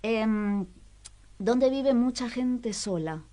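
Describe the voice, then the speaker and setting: A woman hosting a radio culture segment, talking casually with many small pauses and fillers. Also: fairly high pitch, lively intonation, dark tone. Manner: chatty, hesitant with frequent fillers.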